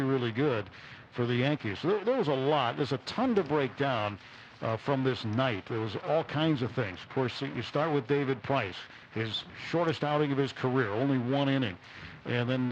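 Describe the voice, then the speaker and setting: A man's voice: medium pitch, a little hiss or hum. Dull-sounding male baseball radio broadcaster. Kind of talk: unscripted postgame game analysis.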